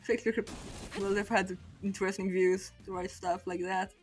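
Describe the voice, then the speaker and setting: A woman's voice: mid-range voice, flat delivery, moderate pace, faint music behind. Chatty young woman with a Polish accent. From Poland. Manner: rambling, self-mocking, laughs often between thoughts. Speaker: streamer. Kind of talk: casual chat over gameplay.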